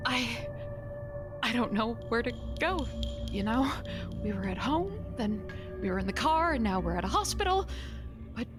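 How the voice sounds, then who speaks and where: Woman acting a high-voiced frightened young woman. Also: expressive, music in the background, close to an everyday voice. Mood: tearful, anxious. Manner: halting, breathless, anxious. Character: frightened, desperate young woman, anxious daughter. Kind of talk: horror audio drama acting.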